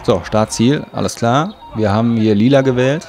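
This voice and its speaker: A man's voice: mid-range voice, moderate pace, a little mellow. A man giving casual gameplay commentary, thinking aloud in an offhand, colloquial way. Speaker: let's play host.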